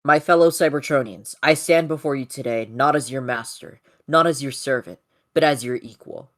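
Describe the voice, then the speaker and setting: A noble robot leader addresses his people with solemn formality, played by a hobby reader. The delivery is measured and oratorical, at a middle pitch, as in an amateur comic dub. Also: a bit bright, close to an everyday voice.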